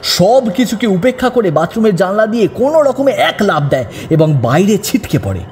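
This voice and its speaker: Mellow male horror narrator with reverb. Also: high-pitched, big pitch swings, moderate pace. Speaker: audiobook narrator. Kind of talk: scripted horror story narration. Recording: long reverb over background music.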